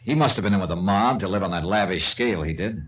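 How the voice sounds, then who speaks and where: A man's voice: low-pitched, fast talker, natural voice. An actor playing a shrewd, sardonic male investigator in a 1950s radio detective drama, with clipped, probing delivery. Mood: probing, skeptical. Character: shrewd, wry male insurance investigator, hard-boiled sleuth. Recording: vintage radio drama recording.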